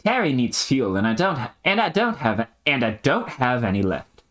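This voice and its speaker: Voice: mid-range voice, sing-song, moderate pace, fairly bright tone, voice pushed up. A reader voicing an earnest young adventurous man in an attempted Australian accent, with hammy, quaint exclamations. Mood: resigned.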